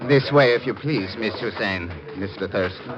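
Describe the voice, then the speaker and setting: A hissy, muffled old radio recording of a menacing enemy spy chief played by a man. The voice is low and measured. He speaks with formal mock courtesy, savoring his threats. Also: lightly acted. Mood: smug courtesy. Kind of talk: 1950s radio drama acting.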